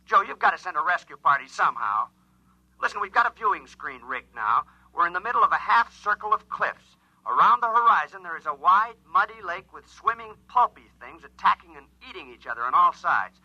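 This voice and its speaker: An alien spaceship pilot from a 1950s radio drama, urgent and insistent. The voice is very sharp and forceful, swinging widely in pitch. It comes through a radio transmission on a dull, lo-fi old recording. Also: male voice, big pitch swings, brisk, breathy edge, no room sound, exaggerated voice. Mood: desperate, pleading. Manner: urgent, insistent, short clipped reports. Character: alarmed alien spaceship pilot, joking turning desperate, pleading. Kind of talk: 1950s science fiction radio acting.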